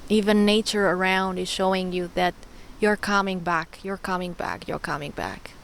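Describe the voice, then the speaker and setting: A young woman hosting a spiritual vlog, talking warmly and encouragingly, repeating words and laughing often. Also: fairly low pitch, moderate pace, a little hiss or hum. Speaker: spiritual channel host. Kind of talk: personal spiritual vlog monologue.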